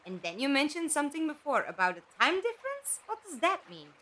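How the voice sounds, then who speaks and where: An actress in a fan audio drama playing a wry, sceptical, questioning companion to a time traveller. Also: female voice, sits high, wildly swooping pitch, brisk, pushed and bright, full character voice. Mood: suspicious.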